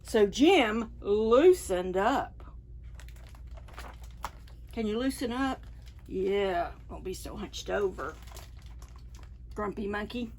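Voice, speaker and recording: A woman's voice: medium pitch, slight background noise. A woman hosting a preschool storytime, reading picture books expressively and putting questions to the young listeners. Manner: expressive, unhurried, asking the children questions. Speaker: children's library storytime host. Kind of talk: picture-book read-aloud for preschoolers.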